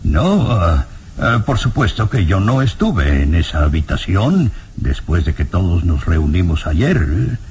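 Nervous, halting old professor. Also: male voice, sits low, sing-song, darkish tone, a little hiss or hum, heavily acted. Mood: nervous, evasive. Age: older. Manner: hesitant, stammering, deferential. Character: nervous, evasive elderly professor. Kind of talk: radionovela acting.

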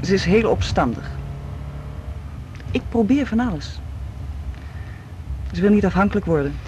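An actress playing a worried, devoted partner of a patient in a radio drama, polite and halting. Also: female voice, medium pitch, animated, lo-fi sound, background noise, close to an everyday voice. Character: patient's anxious, devoted, soft-spoken live-in partner. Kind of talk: 1980s Dutch radio drama acting.

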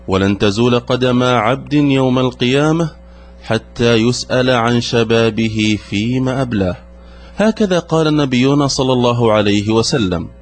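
A man giving a solemn, measured scripted narration for a religious show's introduction. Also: mid-range voice.

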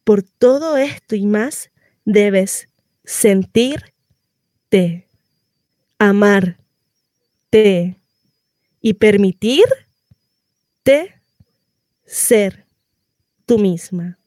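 A woman performing a dramatic monologue as a devoted mother counselling her daughter, with deliberate pauses. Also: mid-range voice, mellow, no room sound, studio quality. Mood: solemn, empowering. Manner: slow, deliberate, pausing delivery. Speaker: actress.

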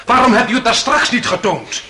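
An actor playing an indignant, forceful defence lawyer, formal and insistent, in a radio drama. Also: male voice, very high voice, animated, a little fast, exaggerated voice. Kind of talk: theatrical radio drama acting. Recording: old radio play with faint noise.

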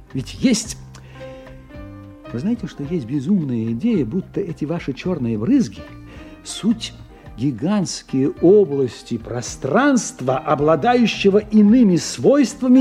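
A man's voice: a little high, warm tone. A man acting in a radio drama as a tipsy, learned scientist who lectures emphatically, stammering and repeating himself. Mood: earnest, lecturing. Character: excitable, tipsy, learned male scientist explaining mysteries.

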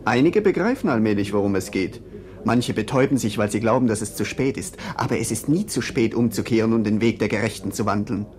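An actor playing an ominous prophet warning of doom in a radio play, with solemn, preaching delivery. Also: male voice, lowish voice, animated, quick pace, a little mellow, natural voice. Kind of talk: German radio play acting.

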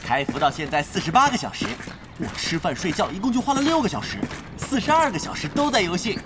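An actor playing a cheerful, boastful rookie gamer in an audio drama. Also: male voice, sits high, very animated, quick pace, background noise. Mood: proud.

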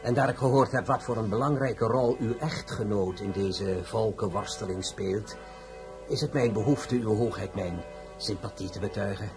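An actor playing a courteous, insinuating gentleman of political intrigue in a period radio drama, formal and deferential, with a husky voice. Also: on the low side, moderate pace, music in the background, natural voice. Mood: ingratiating.

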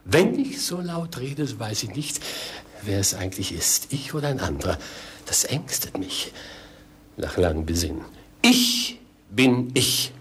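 A male actor playing a flustered, pompous, philosophizing king in a 1950s radio play, theatrical and halting. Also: mid-range voice, very animated, a bit bright, breathy edge, heavily acted. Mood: solemn, muddled. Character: muddled, pompous, anxious philosophizing old king. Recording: 1950s radio drama recording.

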